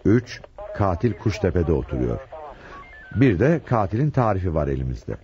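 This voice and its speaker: An actor playing a gruff, brooding police chief inspector in a radio drama, authoritative and methodical. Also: male voice, low voice, sing-song, normal speed, mellow. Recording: dull old radio drama broadcast.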